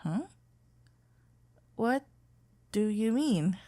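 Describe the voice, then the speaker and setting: A woman playing a shy, smitten florist best friend in a romantic ASMR roleplay, speaking hesitantly with stammers and halting phrases. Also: medium pitch, lively intonation, dark tone. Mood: flustered, nervous. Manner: hesitant, stammering, halting, with whispered breathy moments.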